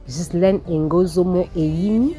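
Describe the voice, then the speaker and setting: A woman with a slightly low voice acts as the interviewer. She delivers prepared introductions and questions, with soft music underneath. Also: takes it slowly.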